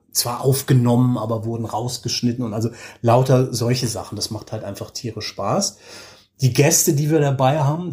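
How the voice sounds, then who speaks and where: A man in his forties chatting casually as an interview guest, telling things with animated enthusiasm. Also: mid-range voice. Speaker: interview guest, podcaster and audio drama creator.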